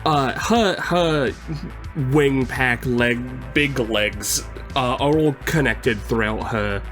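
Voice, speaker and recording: A man narrating his character's moves as a tabletop game player, in deliberate, halting phrases pieced together. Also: medium pitch, a bit bright, soft background music. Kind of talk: casual improvised narration of own character's moves.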